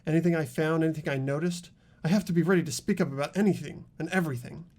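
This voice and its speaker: A woman's voice: sits low, fast, a little mellow. A woman voicing an earnest, determined teenage student in an amateur video game dub.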